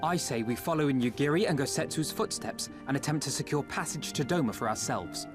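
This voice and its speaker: A man's voice: medium pitch, expressive, fairly quick, over music, close to an everyday voice. A man in a game cutscene proposing a plan earnestly. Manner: earnest, decisive planning. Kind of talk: video game cutscene acting.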